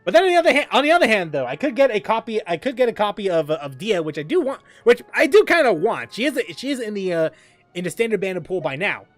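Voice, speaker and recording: High, lively-voiced man streaming a game. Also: fast, no echo, faint music behind. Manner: rambling, many fillers and restarts, reads chat aloud. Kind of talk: casual gaming stream chat.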